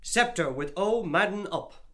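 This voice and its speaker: A man voicing a cheeky, excitable racing tipster, eager and touting, in an audiobook drama. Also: high voice, a little slow, voice pushed up, full character voice. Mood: eager, sly.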